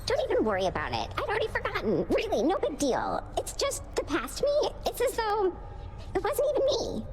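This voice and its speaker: A woman's voice: extremely high pitch, wildly swooping pitch, a little fast, faint music behind, heavily acted. A woman playing a girlish, flustered underworld creature in a video game, gushing with breezy reassurance. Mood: flustered, chirpy. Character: bubbly, anxious-to-please female underworld creature, girlish and flustered. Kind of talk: video game character dialogue.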